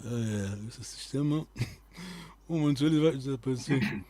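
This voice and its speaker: A man teaching a live online language lesson, quizzing his students and repeating key words slowly so they stick. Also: mid-range voice, expressive, mellow.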